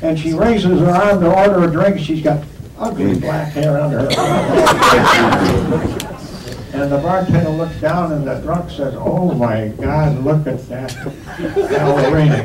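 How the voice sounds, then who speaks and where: Older man cracking jokes in an echoey hall. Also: fairly high pitch. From Western New York. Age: 70s. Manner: jokey storyteller, laughing through punchlines. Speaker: retiring sports broadcaster giving a banquet speech. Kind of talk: after-dinner joke telling. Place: banquet hall. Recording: echoey hall from far off, loud crowd and noise.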